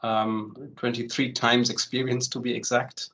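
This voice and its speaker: A man's voice: mid-range voice, measured pace, vocal fry. German-raised man in his forties presenting. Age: 40s. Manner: relaxed, many "um" fillers and tag "right?". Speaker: home loan consultant presenting a webinar. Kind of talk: online presentation, walking through steps.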